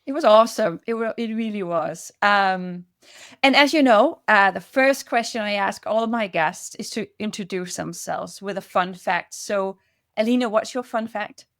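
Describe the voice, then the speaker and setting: A woman hosting a podcast, asking questions in an enthusiastic way with quick laughs and restarts. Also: medium pitch, a bit bright, no echo, clean studio sound. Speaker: podcast host. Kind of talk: casual interview chat.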